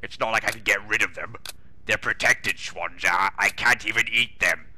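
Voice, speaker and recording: Man playing a pompous, blustering British boss, forceful and deep. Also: normal speed, no echo. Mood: indignant. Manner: blustering, grumbling, indignant. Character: pompous, exasperated older British railway boss. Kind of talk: comic dramatic reading.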